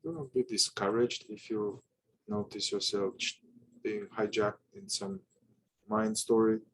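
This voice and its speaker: A man guiding a meditation with calm instruction, speaking in unhurried, halting short phrases with long pauses. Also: fairly low pitch, vocal fry, slight background noise, poor audio. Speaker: meditation guide.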